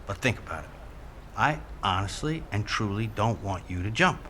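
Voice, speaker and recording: Casting: a New York cop negotiator, insistent and sincere, sharp-edged tone, faint noise under the radio play. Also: male voice, fairly low pitch, lively intonation, moderate pace. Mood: earnest, insistent. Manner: plain-spoken, pleading, insistent. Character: earnest New York police officer negotiating with jumper. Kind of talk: audio drama acting.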